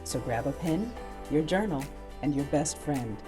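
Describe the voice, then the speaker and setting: Deep-voiced woman announcer over music. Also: expressive, a little slow. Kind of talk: scripted show introduction.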